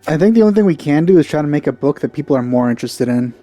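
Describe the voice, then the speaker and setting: A man's voice: fairly high pitch, expressive, racing, dark tone, dry recording. A man giving casual gameplay commentary with dry, wry asides, close on the mic. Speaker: let's play gamer. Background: game music.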